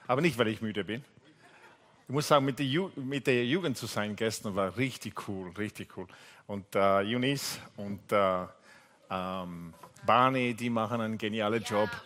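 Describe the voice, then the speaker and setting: A man's voice: fairly low pitch, normal speed, a bit bright. A man from Italy with an Italian accent, preaching a sermon while seated, chatty and full of fillers and restarts. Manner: chatty, many fillers and restarts, jokes with the congregation. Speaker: pastor. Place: church hall. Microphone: seated preacher on stage mic.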